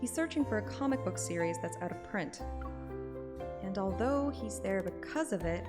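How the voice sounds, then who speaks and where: A woman's voice: mid-range voice, lively intonation, normal speed, close to an everyday voice. A woman voicing a playful, curious young game heroine, reading both her dialogue and her thoughts, with music behind her. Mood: reflective. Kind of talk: dramatic reading of game text.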